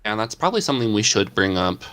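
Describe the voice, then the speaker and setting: A man's voice: lowish voice, big pitch swings, fast talker, fairly bright tone. A man chatting in an easygoing, agreeable way on a casual podcast call. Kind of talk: casual group chat on a call.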